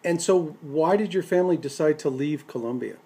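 A man hosting a radio program, introducing a guest and asking measured questions. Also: a little high, mellow, breathy edge.

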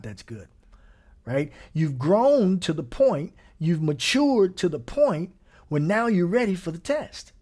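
A man preaching a sermon in a slightly higher voice. He keeps a relaxed, conversational tone and checks in with his listeners often. Now and then he chuckles or sinks almost to a whisper. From Gary, Indiana.

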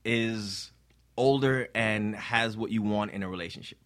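A man guest in a casual podcast chat, crude and joking, giving advice slowly. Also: fairly low pitch, fairly bright tone.

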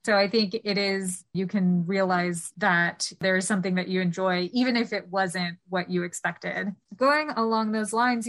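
A woman's voice: medium pitch, fairly bright tone. Chatty woman interviewer. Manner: chatty, many fillers like "you know". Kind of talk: conversational interview.

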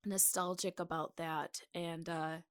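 A woman's voice: lowish voice, flat delivery, unhurried, clean tone, no echo, clean studio sound. A woman co-hosting a podcast, telling researched history in an informal, conversational way. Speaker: podcast co-host. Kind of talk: casual podcast chat with researched history narration.

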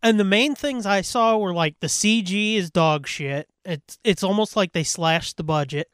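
A man with a high-pitched voice chats about films as a podcast co-host. He is blunt and opinionated, cursing freely as he makes his points. The recording is tight and dry, without room sound. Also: normal speed, a bit bright, clear, resonant tone, no echo. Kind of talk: casual, opinionated film chat.

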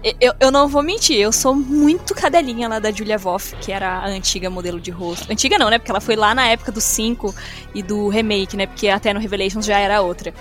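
A woman's voice: medium pitch, quick pace, faint music behind. A Brazilian woman chatting casually as a podcast co-host, emphatic and exclamatory, with slangy phrasing. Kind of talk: casual chat.

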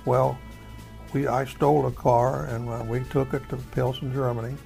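Very gravelly elderly American man. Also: mid-range voice, little pitch movement, normal speed, a little mellow, faint music behind, rough recording. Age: older, over 70. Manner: folksy, rambling, searching for words. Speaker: war veteran. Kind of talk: oral history storytelling.

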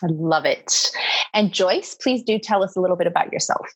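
A woman hosts a talk podcast, speaking with deliberate, earnest pacing. Her voice sits a little low and has a soft breathiness to it. The recording is dry and close, with no room sound. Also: expressive, husky, no echo, poor audio. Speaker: podcast host. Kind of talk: hosting a conversation podcast.

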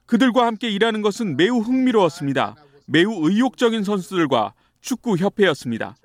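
A man's voice: high-pitched, very animated, fairly quick. A man reading a formal translation of an interview as a voice-over. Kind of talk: read translation.

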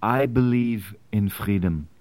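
Actor as an anxious immigrant man, slow and halting. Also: fairly low pitch, darkish tone. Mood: earnest, troubled. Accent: foreign. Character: anxious immigrant tradesman in 1865, wary and reluctant conspirator. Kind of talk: period historical drama acting.

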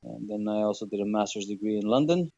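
Mellow-voiced man chatting as podcast guest. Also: fairly low pitch, quick pace, no echo, lo-fi sound. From Greece. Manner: relaxed, hesitant with many fillers. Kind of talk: casual interview chat.

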